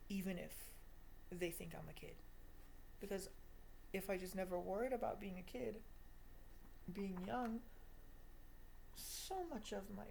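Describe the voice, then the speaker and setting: A woman's voice: medium pitch, creaky, dark tone, a little hiss or hum, poor audio. A woman of twenty giving a confessional video diary monologue in an emphatic, repetitive, self-deprecating way. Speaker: vlogger.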